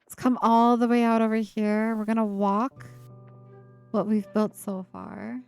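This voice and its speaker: A woman over twenty-five chatting casually on a live stream, with a breathy, giggly laugh and lots of fillers. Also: a little high, level tone, measured pace, a little mellow, faint music behind.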